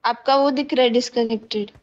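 A woman's voice: fairly high pitch, fairly even intonation, a little fast. A woman chatting casually as a member of a gaming squad over lo-fi voice chat. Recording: dull lo-fi voice chat.

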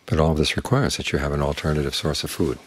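An older man, a Buddhist monk, giving a calm, plain-spoken evening dharma talk that leans on homely images. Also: very deep voice, quick pace, husky.

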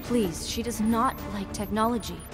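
A woman playing an anxious, pleading, gentle young woman in a video game. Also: mid-range voice, moderate pace, natural voice. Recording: game music underneath.

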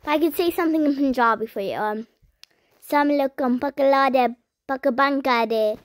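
A young girl hosting her own channel, chatting as she reads viewer comments aloud and answers them with sudden high squeals. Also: fairly quick, no room sound, clean studio sound. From United Kingdom. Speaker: channel host. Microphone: phone.

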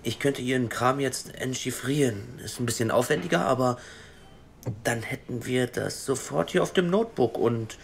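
A man's voice: mid-range voice, normal speed, gravelly, a little hiss or hum, close to an everyday voice. An actor playing a hesitant male tech colleague who rambles eagerly, in a radio play.

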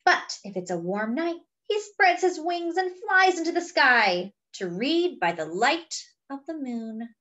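A woman reading a picture book aloud to young children, with expressive, drawn-out delivery and chatty asides. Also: high voice, highs cut off.

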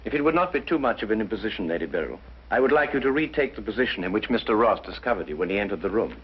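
An actor playing a courteous but commanding British detective with a precise, probing manner, in a 1950s mystery drama. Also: male voice, lowish voice, sing-song, very fast, husky. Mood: measured, firm. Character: keen, courteous, commanding male detective questioning suspects. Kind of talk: 1950s television mystery acting. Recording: dull, muffled 1950s soundtrack.

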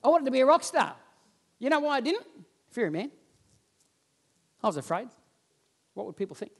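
A man, preaching a sermon on a church stage and telling stories with lively gestures while he walks about. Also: very high-pitched, moderate pace, gravelly, husky. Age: 40s. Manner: animated storytelling, roams the stage gesturing. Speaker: pastor. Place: church stage with band instruments, indoors.

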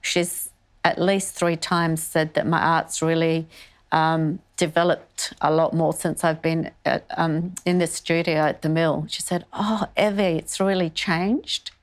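Older woman, low even voice. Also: a little slow. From born in northern Greece, moved to Australia as a child. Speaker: artist being interviewed. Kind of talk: reflective conversational interview. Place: indoor wood-panelled art studio. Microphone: lapel mic.

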